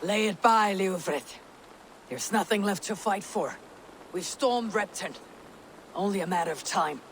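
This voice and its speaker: An actor playing a resolute, commanding but merciful Viking warrior leader in a video game. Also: male voice, sits high, measured pace, fairly bright tone, a little hiss or hum, poor audio. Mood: firm. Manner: firm, commanding. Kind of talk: dramatic video game acting.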